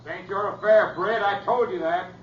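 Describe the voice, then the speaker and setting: A dull, faintly noisy old radio drama features a male actor as a stubborn American cowboy set on revenge. His voice is fairly high, with rustic, folksy western speech. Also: moderate pace, close to an everyday voice. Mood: defiant. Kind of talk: 1950s radio western acting.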